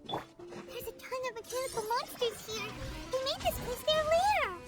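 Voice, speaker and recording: A woman voicing a tiny, excitable, childlike fairy companion in a video game, squeaky and exclamatory. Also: measured pace. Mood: surprised. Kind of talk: scripted video game voice acting. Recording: loud game music behind.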